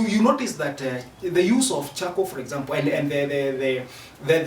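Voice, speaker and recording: A Kenyan man gives formal, emphatic advice in a deliberate manner. His voice sits at a middle pitch. It is recorded in an echoey space, some way from the microphone. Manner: deliberate, emphatic, repeats phrases. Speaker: interviewed commentator on youth employment. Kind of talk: formal spoken interview advice. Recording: echoey, distant mic, faint noise.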